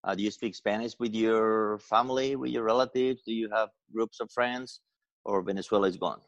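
A man on the panel, quick and friendly, asking the guest personal questions. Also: on the low side, steady tone, dry recording, dull audio. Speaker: panel participant.

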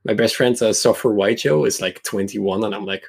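A man in his mid-twenties with a fairly low voice and plenty of fry. His tone is husky, and he tells anecdotes in a loose, rambling conversational style, often breaking off mid-thought. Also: normal speed. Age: mid-20s. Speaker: podcast guest, competitive card game player. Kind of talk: casual chat, personal anecdotes.

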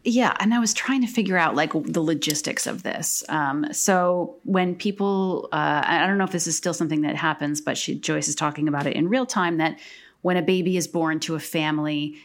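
A woman's voice: sits low, fairly quick. A woman co-hosting a podcast, chatting in a dry, laid-back way. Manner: dry, laid-back, lots of quiet agreement sounds. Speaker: podcast co-host. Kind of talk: casual chat.

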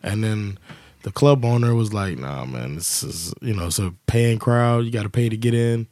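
A man co-hosting a casual podcast, telling a story in English, animated and laughing, acting out the quotes. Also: lowish voice, normal speed, no room sound. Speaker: podcast co-host and comedian.

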